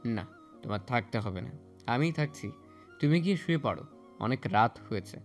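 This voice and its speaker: A man's voice: mid-range voice, lively intonation, normal speed, close to an everyday voice. A man playing an irritable, protective young older cousin in an audio story, with a scolding colloquial manner. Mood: firm. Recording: music bed under voice.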